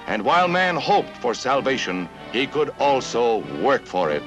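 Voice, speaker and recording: A man's voice: medium pitch, sing-song, unhurried, fairly bright tone, soft background music. A man narrating a 1950s newsreel in a solemn, declamatory, dramatic manner, on a vintage soundtrack.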